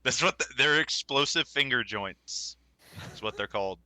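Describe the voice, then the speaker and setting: A man's voice: mid-range voice, lively intonation, normal speed, sharp tone, no room sound. A man chatting casually as a player in a tabletop game. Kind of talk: casual chat.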